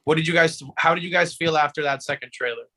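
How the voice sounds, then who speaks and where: A man's bright, forceful voice, set a bit higher than average. He hosts in a casual, explanatory way, circling back and repeating words as he works out his point. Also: quick pace. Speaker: podcast host.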